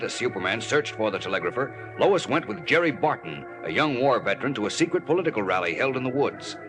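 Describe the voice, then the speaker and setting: A man narrates an adventure serial in 1940s radio style. His mid-pitched voice is emphatic and full of suspense. Music plays clearly behind him on the old recording. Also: rough recording. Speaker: announcer, narrator.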